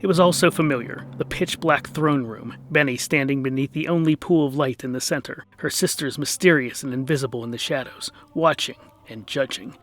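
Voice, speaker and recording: A man's voice: medium pitch, fairly quick, few pauses, fairly bright tone. A man narrating a scripted comic fantasy story in a dramatic storytelling manner with dry wit.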